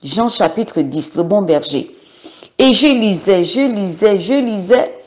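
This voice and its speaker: A woman's voice: mid-range voice, expressive, slow. A woman from Gabon in her thirties giving an unscripted personal testimony, hesitant, with many fillers and repeated words.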